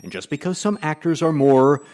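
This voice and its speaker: A man's voice: fairly high pitch, expressive, a little fast, darkish tone, natural voice. An actor playing a sardonic, theatrical older agent in a comedic audio drama, touchy about his age and complaining dryly. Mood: wounded sarcasm. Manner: dry, wry, wordy complaining.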